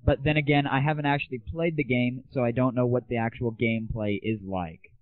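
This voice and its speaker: A man's voice: medium pitch, fairly even intonation, fairly quick. A young man hosting a comic internet audio show with a sarcastic, cocky delivery. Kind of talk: scripted comic monologue. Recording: tinny, band-limited lo-fi audio.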